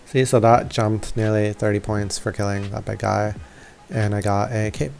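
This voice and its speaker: Man, lowish voice, gravelly fry, unhurried pace, offhand walkthrough narration, casual gamer, soft music underneath. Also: steady tone. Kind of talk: casual game commentary. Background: faint game music.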